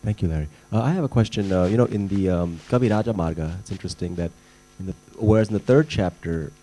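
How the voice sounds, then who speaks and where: A man in a lecture hall audience asking a scholarly question, halting and full of fillers. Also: low-pitched, warm tone. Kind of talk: question from the floor.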